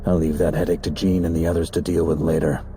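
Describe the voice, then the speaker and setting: An actor playing a composed investigator who explains events in a measured, matter-of-fact way. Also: male voice, sits low, little pitch movement, warm tone, a little hiss or hum, close to an everyday voice. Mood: calm. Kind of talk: game cutscene voice acting.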